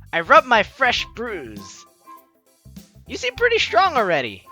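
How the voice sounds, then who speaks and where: Young guy doing a let's play, super animated and bright, chatty and playful, music behind him. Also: very high-pitched. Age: young adult. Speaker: let's player hosting a game series. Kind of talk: lively game commentary, reading dialogue aloud.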